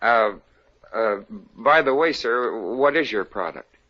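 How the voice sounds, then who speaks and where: A man's voice: medium pitch, animated, takes it slowly, bright, forceful tone, exaggerated voice. An actor playing an eager, bumbling young salesman in a 1950s radio comedy. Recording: dull lo-fi old radio broadcast.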